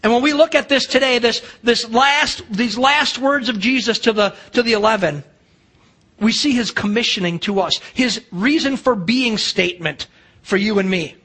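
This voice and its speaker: High-pitched American male pastor preaching. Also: moderate pace, bright, forceful tone, husky. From United States. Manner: emphatic, rhetorical questions, acts out remembered dialogue. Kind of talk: sermon.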